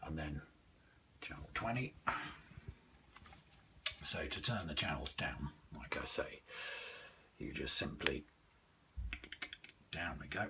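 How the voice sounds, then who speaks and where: A man giving a casual, halting, unhurried hands-on demonstration, thinking aloud as he goes. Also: very deep voice, expressive, far from the mic, very noisy. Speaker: radio hobbyist reviewer.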